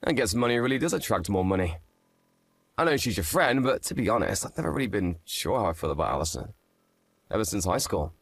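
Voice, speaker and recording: A man's voice: on the low side, sing-song, quick pace, no room sound. A man playing a candid, gossipy, skeptical guy in a scripted story drama. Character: skeptical, gossipy man, old high-school acquaintance.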